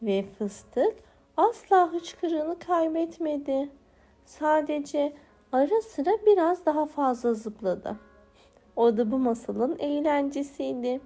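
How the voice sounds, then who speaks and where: Female children's storyteller, very high and unhurried, for bedtime tales. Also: animated, warm tone, soft background music. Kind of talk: scripted bedtime story narration for small children.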